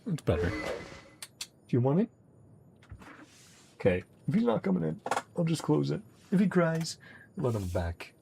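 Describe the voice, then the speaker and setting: A man's voice: a little high, huge pitch swings, measured pace, vocal fry, long pauses, noisy background. A man casually commenting on a video game he is playing, reading the game text aloud and chuckling now and then. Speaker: gaming streamer. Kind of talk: casual let's-play commentary. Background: game music.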